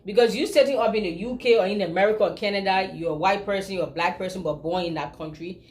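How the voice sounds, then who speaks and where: A woman from Liberia with a Liberian accent, speaking at a middle pitch. She argues her point as a vlogger, emphatic and pressing her viewers with rhetorical questions. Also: fast. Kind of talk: opinion commentary.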